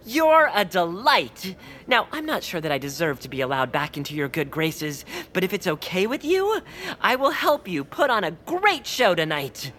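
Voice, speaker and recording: Earnest unsure amateur director in an audio comedy, hesitant but really expressive, pitch moves around a lot. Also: female voice, normal speed. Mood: apologetic, earnest. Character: earnest, self-doubting amateur theatre director. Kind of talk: scripted comedic audio drama acting.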